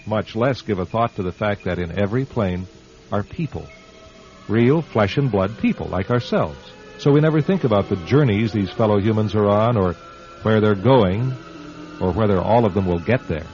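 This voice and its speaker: Solemn male radio narrator, very expressive pitch. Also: moderate pace, faint music behind. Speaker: radio drama host and narrator. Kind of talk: dramatic scripted narration, classic radio. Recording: dull old radio recording.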